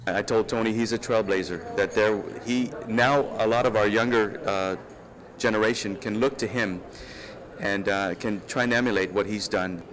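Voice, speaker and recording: Male interviewee, slightly low pitch, unscripted and halting delivery. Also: steady tone, a bit bright. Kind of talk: spontaneous interview remarks.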